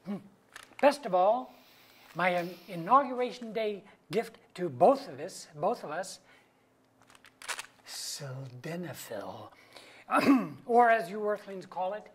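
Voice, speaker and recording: A man playing a wry, rambling middle-aged suburban husband in a staged play reading, with a husky voice and mock-grand delivery. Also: high-pitched, big pitch swings, slow pace, long pauses, slight background noise, exaggerated voice. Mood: wry musing.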